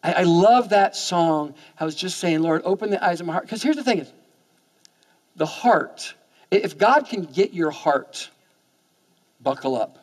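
A man in his forties preaches from a church stage into a headset mic. His voice sits a little high for a man, and he talks in a loose, conversational way, stumbling over repeated words as he starts his sentences. A long reverb from the hall hangs behind him. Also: expressive, measured pace, pauses often. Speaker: pastor. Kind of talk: sermon.

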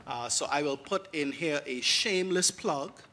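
A husky-voiced Bahamian man preaches from a church pulpit, taking his time over each phrase. His pitch sits a little high for a man, and his delivery is genial but climbs into emphatic preaching. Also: unhurried, bright, forceful tone. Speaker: guest priest. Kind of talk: sermon. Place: large church sanctuary. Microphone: pulpit mic.

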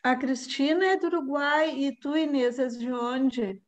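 A woman's voice: high voice, measured pace. A woman chatting unhurriedly on a video call and asking questions. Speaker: online class participant. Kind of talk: casual chat. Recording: muffled video-call audio.

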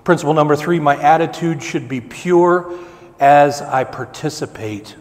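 Man, pastor, sermon, slow repeated emphasis, fairly high voice, long church reverb. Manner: preaching with swelling emphasis and slow repeated phrases. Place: church sanctuary, indoors.

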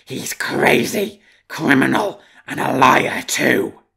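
A man voicing a peevish, jealous male wolf courtier who growls his words slowly and with strain. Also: medium pitch, gravelly, bright, forceful tone, husky, full character voice. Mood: growling, grasping.

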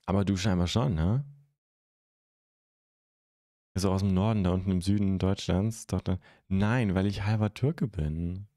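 A young man from Germany hosting a live stream, chatting casually and haltingly as he answers viewer comments into a close microphone. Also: low voice, steady tone, mellow, no echo. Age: young adult. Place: indoor streaming room at home. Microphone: close microphone on a stand.

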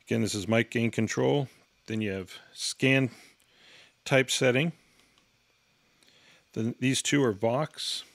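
A man's voice: mid-range voice, takes it slowly, vocal fry, long pauses, a bit bright, dry recording. A man giving an instructional product walkthrough in a matter-of-fact, step-by-step way. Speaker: product reviewer and demonstrator.

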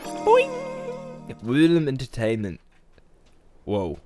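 Young new guy with a cold, kinda nasal, voice jumps up and down a lot, excited, faint music behind. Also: medium pitch, slow. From New Zealand. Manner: excitable, exclaiming, laughing. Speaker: reaction video host. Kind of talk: casual reaction vlog. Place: small bedroom studio with shelves of figurines. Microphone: studio condenser mic on boom arm, headphones on.

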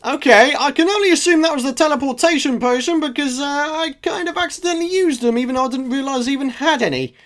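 A man's voice: very high voice, quick pace, hardly any pauses, a bit bright. A man with a sore throat commenting casually on his own gameplay, chatty and self-mocking, muttering asides to the game. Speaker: gaming channel host. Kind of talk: casual let's play commentary.

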